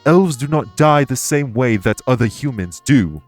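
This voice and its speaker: An actor playing a courteous, remorseful immortal elven king in an audio roleplay, speaking in formal, measured phrases. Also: medium pitch, expressive, soft background music, close to an everyday voice. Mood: urgent, exasperated. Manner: formal, measured, stately wording. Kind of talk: scripted audio roleplay acting.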